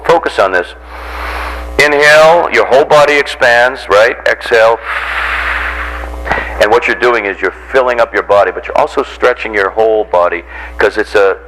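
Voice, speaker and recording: Voice coach, American male, lowish pitch, informal and joking as he instructs a group. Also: rough recording. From Randolph, near Boston, United States. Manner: jocular, animated teacher who acts out examples and puts questions to listeners. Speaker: voice coach giving a workshop.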